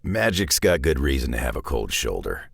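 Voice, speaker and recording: An actor playing a gruff, battle-worn older male mutant warrior in a video game, with a raspy, gravelly voice and dry, sardonic, clipped delivery. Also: extremely low pitch, big pitch swings, normal speed, no echo, full character voice. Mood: gruff, matter-of-fact. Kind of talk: video game character voice lines.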